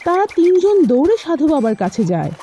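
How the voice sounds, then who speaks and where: Woman storyteller, very high pitch, soft mellow timbre, children's cartoon narration. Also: very animated, normal speed, faint music behind.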